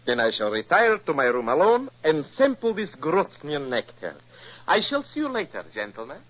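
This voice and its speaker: Effusive high-voiced foreign émigré. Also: male voice, wildly swooping pitch, normal speed. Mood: effusive, genial. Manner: effusive, flowery. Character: genial, proud foreign émigré from fictional Balkan-style country. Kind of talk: 1940s radio acting. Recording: muffled vintage radio recording.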